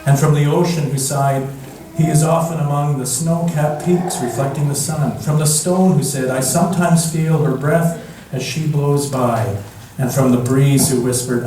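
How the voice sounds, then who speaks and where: Male storyteller, unhurried and gentle read-aloud with long pauses; very reverberant room, distant mic. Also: medium pitch, steady tone. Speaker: storyteller reading at a church. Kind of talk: slow picture-book read-aloud. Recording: echoey room from far off, background noise.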